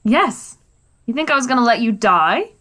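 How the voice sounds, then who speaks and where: A woman playing a superhero's sharp-tongued, exasperated wife in a radio play, curt and fed up. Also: fairly high pitch, lively intonation, normal speed.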